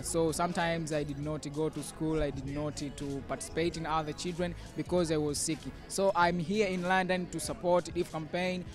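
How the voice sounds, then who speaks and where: A sixteen-year-old boy from Tanzania, speaking slowly with many pauses and ums. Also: on the high side. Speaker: young ambassador.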